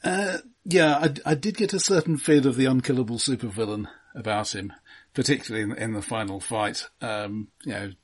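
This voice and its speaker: Husky guy talking movies on a podcast, mid pitch, dry n wordy, kinda measured. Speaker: film discussion podcast host. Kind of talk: casual analytical film discussion.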